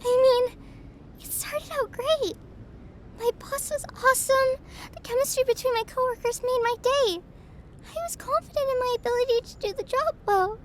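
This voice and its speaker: Very high-pitched, fragile young woman, acted out. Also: a little hiss or hum. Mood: subdued, wistful. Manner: tearful, halting, stammering. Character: distraught young woman confiding in her best friend, self-deprecating, fragile. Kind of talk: intimate audio roleplay acting.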